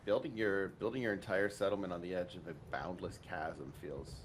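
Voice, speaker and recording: A man's voice: deep voice, fairly even intonation, normal speed, a little hiss or hum. A man co-hosting a let's play, chatting casually about the game with wry, dry quips. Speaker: let's play co-host watching and commenting. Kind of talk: casual chat, game commentary.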